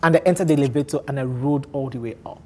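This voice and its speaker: A man in his thirties from Nigeria with an Igbo accent, telling his life story candidly on a talk show, animated and often laughing. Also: medium pitch, very fast, husky. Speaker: guest on a men's talk show.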